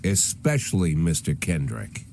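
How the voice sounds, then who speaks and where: An actor playing a jaded, aging police chief in a video game, with wry, world-weary, deadpan noir delivery. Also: male voice, deep voice, a little slow, slight background noise, heavily acted. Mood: deadpan, guarded. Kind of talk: noir scripted narration and drama dialogue.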